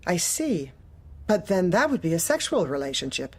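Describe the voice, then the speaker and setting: An actress playing a manipulative office manager in a dark comedy audio drama, speaking with measured, formal, condescending politeness. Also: female voice, lowish voice, big pitch swings, slightly rough, full character voice. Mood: probing, formal.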